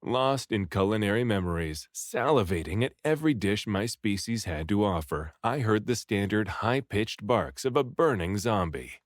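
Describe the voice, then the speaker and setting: A man reading a story aloud in an expressive, dramatic first-person narration, acting out the hero's lines. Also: fairly low pitch, normal speed, hardly any pauses, no room sound, clean studio sound. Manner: animated, dramatic, acts out the first-person hero. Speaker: audiobook reader.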